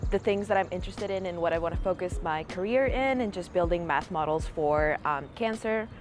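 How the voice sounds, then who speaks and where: A woman's voice: lowish voice, fairly quick. A woman in her twenties from Peru, a student answering interview questions in an earnest, casual way with many fillers. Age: 20s. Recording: music playing behind the voice.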